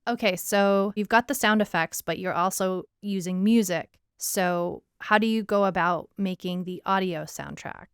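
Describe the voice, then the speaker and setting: A woman's voice: mid-range voice, normal speed, few pauses, smooth, no room sound, studio quality. A woman co-hosting a podcast in casual chat, asking questions and encouraging her partner. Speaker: podcast co-host and writer.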